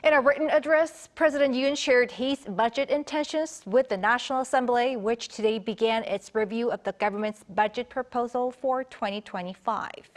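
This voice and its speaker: A woman with a fairly high voice reads scripted news as a studio anchor. Her delivery is that of a formal broadcast news presenter. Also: normal speed, few pauses.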